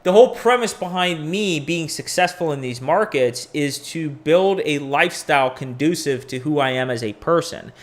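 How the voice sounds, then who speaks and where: Man in his forties, casual live-stream host, rambling, blunt, swears, fairly high voice. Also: expressive, normal speed, few pauses. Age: 40s. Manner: rambling, candid, profane asides. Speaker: crypto podcast host.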